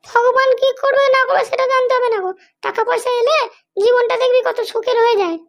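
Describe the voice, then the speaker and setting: A performer voicing a scheming, power-hungry madam in a comedy cartoon, with a high childlike voice and a bossy, threatening manner. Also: steady tone, fast talker, gravelly, a bit bright, full character voice. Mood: dismissive, bossy. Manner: bossy, threatening, self-justifying. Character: scheming, power-hungry madam, ruthless mother seeking political power.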